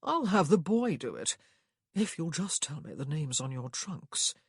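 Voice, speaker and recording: A suave English lord, put on by a man reading a story, jovial and smugly amused. He drawls with cheerful self-assurance. The voice is husky and dryly recorded. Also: fairly high pitch, very animated, a little slow, no echo, clean studio sound, heavily acted. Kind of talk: audiobook character dialogue.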